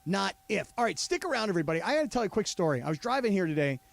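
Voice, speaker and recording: A man co-hosting a sports talk radio show, animated and prone to interrupting and repeating himself in studio banter. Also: sits high, very fast, creaky, dry recording. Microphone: studio mic.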